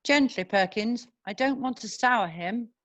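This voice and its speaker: A woman playing a polite, quietly confident male cavalry captain in an amateur screenplay read-through. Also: on the high side, unhurried, dull audio. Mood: polite, firm.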